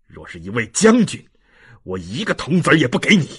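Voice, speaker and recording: A man playing a passionate, indignant young nobleman in an audiobook, with a husky voice and forceful, declamatory delivery. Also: medium pitch, wildly swooping pitch, fairly quick, full character voice. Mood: furious, scornful. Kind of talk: audiobook character acting.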